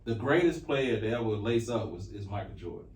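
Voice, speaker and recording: A man's voice: fairly low pitch, lively intonation, fairly quick, a little mellow. A man in his forties from North Carolina with an American accent, chatting casually and emphatically as a podcast co-host about sports. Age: 40s. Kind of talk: casual sports talk, opinionated. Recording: roomy echo, distant from mic.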